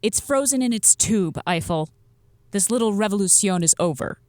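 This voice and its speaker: A woman's voice: medium pitch, animated, moderate pace, darkish tone, no echo, studio quality, lightly acted. An actress playing a stern female space station commander who talks in a curt, dryly authoritative way. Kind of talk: audio drama acting.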